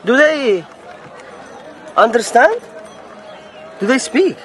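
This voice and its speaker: High-pitched, animated merchant man. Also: takes it slowly, some chatter behind, exaggerated voice. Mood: hesitant, curious. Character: insistent slave-trading merchant and father. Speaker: actor in audio drama. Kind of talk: naturalistic radio drama acting. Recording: noisy outdoor street ambience.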